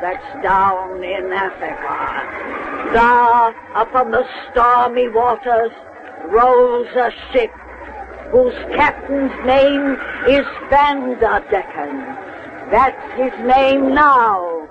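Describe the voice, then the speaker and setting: Cackling, slow-drawling ancient witch. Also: a little high. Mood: gleeful, ominous. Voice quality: cackling, cracked old voice. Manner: folksy, drawling, relishing the horror. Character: gleeful hundred-and-eleven-year-old witch telling spooky tales. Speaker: storyteller host. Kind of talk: 1930s radio horror hosting. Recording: noisy muffled old radio recording.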